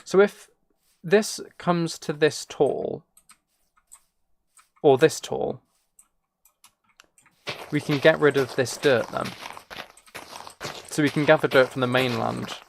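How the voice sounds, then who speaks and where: A man's voice: medium pitch, takes it slowly, creaky, long pauses. A man chatting casually while he plays a game, thinking aloud in a rambling, playfully self-mocking way. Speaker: streamer.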